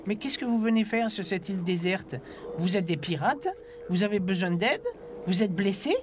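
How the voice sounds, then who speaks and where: A man's voice: sits high, lively intonation, moderate pace, slight background noise. A man voicing a friendly, inquisitive island animal who chats away in a dubbed cartoon. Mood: curious. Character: friendly, curious animal castaway from Alaska. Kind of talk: dubbed low-budget cartoon dialogue. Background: music.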